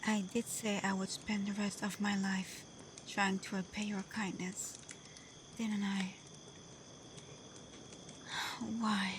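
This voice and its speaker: A woman playing a gentle, devoted kitsune in love in an intimate ASMR roleplay, speaking tenderly and hesitantly, often dropping to a breathy whisper. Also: medium pitch, flat delivery, a little slow, noisy background, close to an everyday voice. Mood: tender, torn. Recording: faint cricket and night insect ambience.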